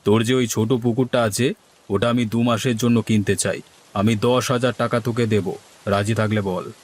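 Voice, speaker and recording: A man's voice: lowish voice, fairly even intonation, moderate pace, slight background noise, natural voice. A man voicing village men in a cartoon, from schemers to worriers, with theatrical, exaggerated dialogue delivery. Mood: assertive.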